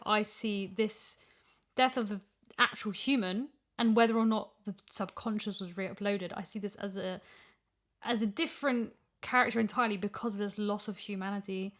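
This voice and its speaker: A woman chatting casually as a voice actor about her work, reflective and working through her ideas as she speaks. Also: medium pitch. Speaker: voice actor discussing her character. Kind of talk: casual discussion.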